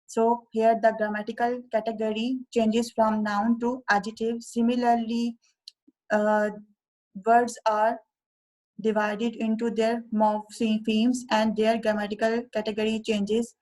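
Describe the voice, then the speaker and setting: Young Urdu-speaking woman, fairly high voice and flat delivery; walks through a software demo step by step. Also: low-quality recording. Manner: slow, careful step-by-step explaining. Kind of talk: screen-recorded software tutorial.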